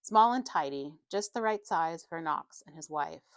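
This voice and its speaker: A woman's voice: deep voice, expressive, normal speed, no room sound. A woman reading a horror novel aloud as an audiobook narrator, telling the story in a dramatic scripted style.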